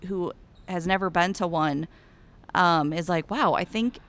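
Bubbly Iowan woman, smooth voice. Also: fairly low pitch, measured pace. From Iowa. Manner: bubbly and enthusiastic, gushing with excitement. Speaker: guest, cattle industry ambassador. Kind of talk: casual interview chat.

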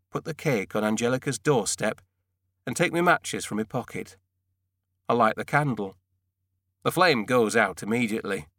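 Male narrator, audiobook fiction, measured deadpan storytelling, expressive pitch movement, very dry close sound. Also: no room sound. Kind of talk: first-person novel narration.

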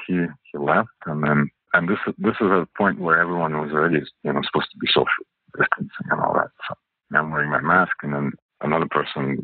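A Russian-born architect raised in Canada, recounting events in a halting way with many fillers, his voice husky. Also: very deep voice, dry recording. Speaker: architect guest. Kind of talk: storytelling interview answer. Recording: phone-like narrow audio.

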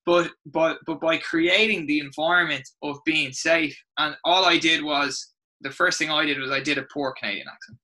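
Irish male coach, slightly high, ringing tone; expressive storyteller who restarts his sentences. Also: moderate pace. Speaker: rugby coach. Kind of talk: interview storytelling.

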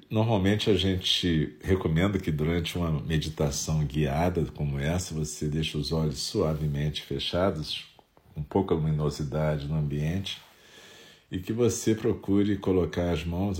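A Brazilian man with a nasal, stuffy voice from a head cold, calmly leading a Zen meditation talk. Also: rumbling low. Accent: Brazilian Portuguese.

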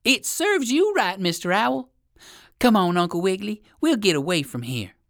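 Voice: big pitch swings, moderate pace, no echo, studio quality. A storyteller voicing a brave, cheeky young squirrel boy who calls out in a children's storybook. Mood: cheeky, triumphant. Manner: high, loud calling out.

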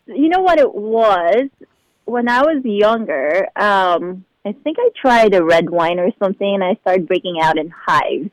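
A woman's voice: medium pitch, animated, a little slow, no room sound, rough recording. A bubbly young woman from the Philippines, chatting and laughing often as a guest in a casual interview. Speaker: show regular being interviewed.

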